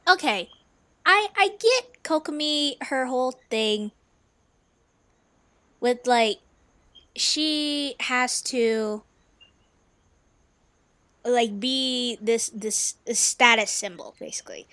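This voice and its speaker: A woman giving casual, reactive let's play commentary, joking, halting and emphatic as she goes. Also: fairly high pitch, expressive, pushed and bright, no echo. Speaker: gaming video host. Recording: faint game music behind the voice.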